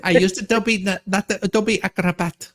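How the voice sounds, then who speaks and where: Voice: medium pitch, fairly even intonation, normal speed. A livestream host giving a comedic, mocking dramatic reading of a document, with crude ad-libbed asides. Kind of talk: comedic dramatic reading of a court ruling, with ad-libs.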